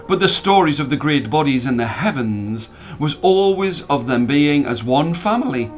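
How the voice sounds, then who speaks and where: A man reading a story as a storyteller, expressive and dramatic, drawing out the ends of phrases. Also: a little high, normal speed, faint music behind. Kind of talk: expressive scripted story narration.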